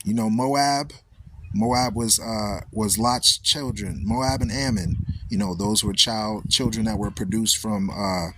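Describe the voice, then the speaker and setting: A man's voice: on the low side, slow pace, vocal fry, slight background noise, rough recording. A man hosting a talk radio show, speaking in a loose, preaching style and asking "right?" after most points. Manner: animated storytelling, repeats "right?" often.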